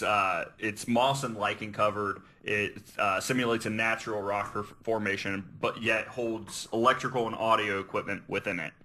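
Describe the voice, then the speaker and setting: A man's voice: on the low side, pushed and bright. A man hosting a podcast, earnestly explaining researched material and sometimes stumbling over his words. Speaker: podcast host. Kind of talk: reading researched notes, explanatory podcast talk.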